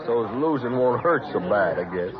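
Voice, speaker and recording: An actor playing a cruel, taunting frontier bully in a Western radio drama, needling in a folksy drawl. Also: male voice, mid-range voice, lively intonation, moderate pace, lightly acted. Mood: sneering. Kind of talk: old-time Western radio drama acting. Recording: dull old radio recording, crowd behind.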